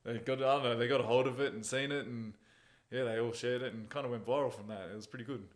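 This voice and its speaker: A man, an MMA fighter being interviewed, telling stories in a relaxed, casual way and chuckling at his own jokes. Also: medium pitch, fast.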